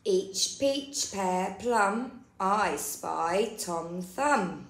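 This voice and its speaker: Slow-paced woman reading to young children. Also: medium pitch, animated. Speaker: nursery storyteller. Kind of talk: picture-book read-aloud for young children. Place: indoor room. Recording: roomy indoor echo.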